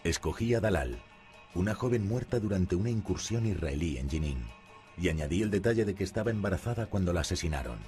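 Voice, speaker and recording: Male narrator for a documentary, very low voice, steady first-person read over clear music. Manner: calm, measured first-person telling. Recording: music playing behind.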